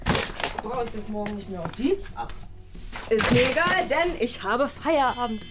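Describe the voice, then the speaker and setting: A woman's voice: fairly high pitch, moderate pace, natural voice. A young woman playing a laid-back teacher off duty in a comedy sketch, talking to herself with theatrical sighs and exclamations. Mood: relieved, carefree. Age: young adult. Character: laid-back female teacher enjoying her evening off. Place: small bright furnished room indoors. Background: music.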